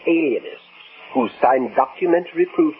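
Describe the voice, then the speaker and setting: A man's voice: on the high side, moderate pace, close to an everyday voice. A man playing an elderly, courtly, fanatical former professor in an old-time radio drama, speaking formally and pompously. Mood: polite, resolute. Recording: noisy, narrow-band vintage radio recording.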